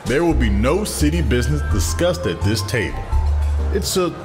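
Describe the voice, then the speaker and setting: A man playing a suave, self-assured district attorney with a menacing streak in an audio drama, measured and teasing. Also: mid-range voice, very animated, music in the background, natural voice. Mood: playful, confident.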